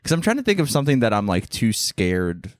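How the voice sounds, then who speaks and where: A man's voice: lowish voice, big pitch swings, fairly quick, dry recording, studio quality. A tired man co-hosting a podcast, rambling playfully in casual comedic chat and trailing off mid-thought. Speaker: podcast co-host.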